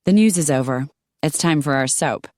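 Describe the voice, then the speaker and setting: A woman's voice: deep voice, animated, moderate pace, darkish tone, no echo, clean studio sound. A woman voicing a wistful, chatty woman.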